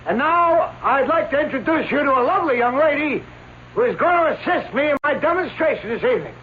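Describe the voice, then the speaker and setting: A grandiose old escape artist. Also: male voice, very high voice, expressive, a little fast. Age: older. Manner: grand, theatrical showman patter. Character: elderly male stage escape artist, boastful showman. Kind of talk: 1940s radio acting. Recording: tinny old radio recording with hiss.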